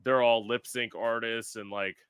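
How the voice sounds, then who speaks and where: Bright chatty podcast host guy, lots of "like", super dry close sound. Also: medium pitch, fairly even intonation, moderate pace, clear, resonant tone, dry recording. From South Florida. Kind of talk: casual podcast chat.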